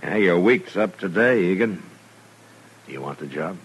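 An American actor playing a gruff, weary frontier marshal in a 1950s radio western, terse, grave and plainspoken. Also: male voice, deep voice, expressive, moderate pace, slight background noise, low-quality recording. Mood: dry. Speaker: radio drama lead actor.

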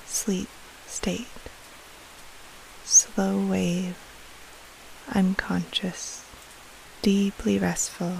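A woman guiding a sleep meditation in a soft, breathy half-whisper, soothing, with long pauses between short phrases. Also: medium pitch, poor audio. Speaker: meditation guide, podcast host. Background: soft rain-like hiss.

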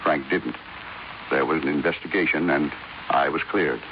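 A man's voice: rumbling low, normal speed. A man acting a guarded, blunt older business magnate in a 1950s radio drama, speaking imperiously. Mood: guarded, confiding. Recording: dull, lightly noisy 1950s radio recording.

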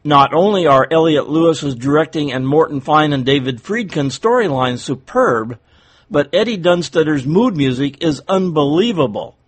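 A man's voice: on the high side, lively intonation, normal speed. A man hosting an old-time radio podcast, giving a scripted introduction.

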